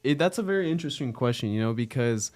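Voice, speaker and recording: A nineteen-year-old man from Arizona with an American accent, chatting casually as an interview guest in an earnest, halting way. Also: mid-range voice, lively intonation, darkish tone. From Arizona, United States. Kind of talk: casual chat.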